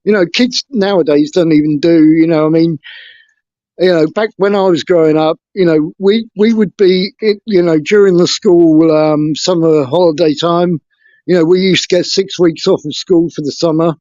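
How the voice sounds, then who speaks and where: A man with a somewhat high voice tells his story in a frank, hesitant way. The sound is dry and dull, like lo-fi call audio. He speaks as an interview guest on a video call. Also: moderate pace, a little mellow, dry recording. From England. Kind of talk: casual interview talk.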